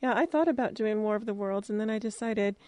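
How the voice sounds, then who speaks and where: A woman's voice: mid-range voice, fast, warm tone, no echo. Chatty American woman interviewer, dry sound. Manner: informal, chatty phrasing. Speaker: interviewer, radio drama producer. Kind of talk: casual interview chat.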